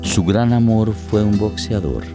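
A man's voice: fairly low pitch, lively intonation, a little slow. A man giving a dramatic scripted narration of a radio play. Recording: plucked guitar music under voice.

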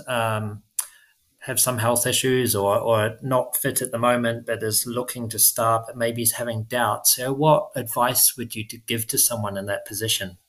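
A man with a medium-pitched voice hosts an interview. He asks his questions in a steady, measured way. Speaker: podcast host.

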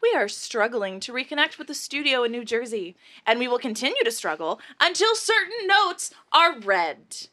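Woman acting a bright, high, theatrical radio performer. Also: big pitch swings, normal speed. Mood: effusive, pompous.

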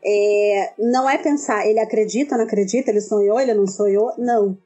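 A woman talking in a casual academic discussion, lively and animated. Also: mid-range voice, fairly quick, breathy edge, soft background music. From southern Brazil. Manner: lively, animated storytelling with acted quotes. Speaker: medievalist scholar.

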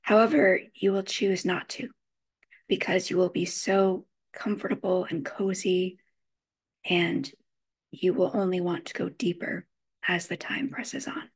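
A woman leading a guided meditation, giving gentle instructions in measured phrases with frequent pauses. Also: lowish voice, flat delivery, slightly rough.

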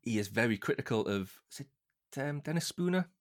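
A man's voice: medium pitch, lively intonation, a little fast. A man chatting casually as a fan on a podcast, rambling a little hesitantly.

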